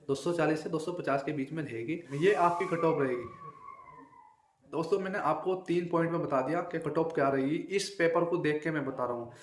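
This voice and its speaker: A young man giving an informal, emphatic exam analysis to viewers, often repeating himself and adding check-in tags. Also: fairly high pitch. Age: young adult. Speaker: exam-prep video creator and exam candidate. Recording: echoey untreated room.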